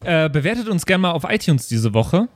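A man's voice: a little high, big pitch swings, brisk. A man hosting a podcast in a casual, chatty and jokey way, bantering and thanking listeners. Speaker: podcast host.

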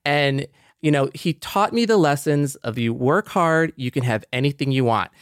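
A man's voice: mid-range voice, moderate pace, clear, resonant tone, no echo, clean studio sound. A man co-hosting a podcast in a personal, conversational way, reflective and given to storytelling. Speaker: podcast co-host.

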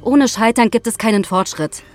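A woman's voice: a little high, moderate pace, faint music behind, close to an everyday voice. An actress playing a resolute woman astronaut in an audio drama, speaking in declarative, speech-like phrases. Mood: proud, insistent.

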